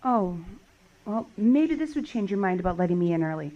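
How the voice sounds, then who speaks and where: A woman playing a curious wandering survivor who loves books, in an audio drama, with an expressive, questioning delivery. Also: mid-range voice, fairly quick, dull audio, close to an everyday voice. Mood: tentative, wry. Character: wandering female book lover, curious, pleading, indignant survivor. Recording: clearly noisy background with clicks.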